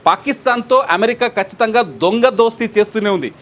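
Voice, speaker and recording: A man speaks as a news analyst in a high voice. He talks quickly, explaining the situation and repeating points for emphasis. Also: fairly bright tone. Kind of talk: live news analysis and commentary.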